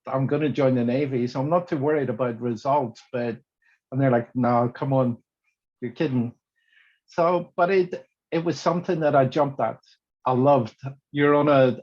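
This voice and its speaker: A man's voice: mid-range voice, a little mellow, lo-fi sound. A middle-aged man, a mentor on a panel, telling his story in an easygoing, sociable way. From Northern Ireland. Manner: easygoing, sociable, fillers like "you know".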